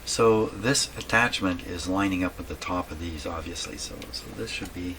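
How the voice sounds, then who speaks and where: Low-voiced man thinking aloud, noisy recording. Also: fairly bright tone. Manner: halting, thinking aloud to himself. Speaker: host of a radio repair channel. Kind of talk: casual hands-on repair narration. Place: indoors at a home workshop. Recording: clearly noisy room recording.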